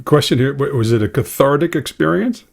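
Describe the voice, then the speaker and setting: A man's voice: medium pitch, brisk, vocal fry, crowd noise. Brief: dry, mock-formal man co-host. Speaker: podcast co-host. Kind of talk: casual interview chat.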